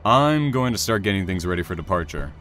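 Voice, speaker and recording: A man's voice: low-pitched, big pitch swings, a little fast, a bit bright. A man playing a sober, rule-minded train engineer in an audio drama, reserved and businesslike. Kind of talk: naturalistic audio drama acting.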